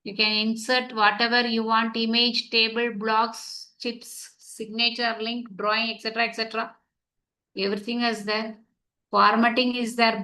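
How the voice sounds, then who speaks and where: A woman's voice: on the high side, sharp tone, poor audio. A woman whose first language is Tamil, teaching an online class step by step and checking whether her students follow. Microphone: computer mic on video call.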